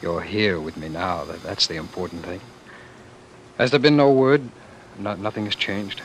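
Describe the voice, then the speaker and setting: An actor playing a weary, defiant former world ruler in love, with halting, theatrical delivery in the style of old radio drama. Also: male voice, low-pitched. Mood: tender, reassuring. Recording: noisy vintage radio broadcast.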